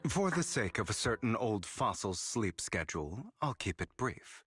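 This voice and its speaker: An actor playing a suave, sardonic gentleman thief and con artist in a video game, with a grandiose, silky, deliberate delivery. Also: lowish voice, sing-song. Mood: wry, brisk. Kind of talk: dramatic video game voice acting. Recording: background music under voice.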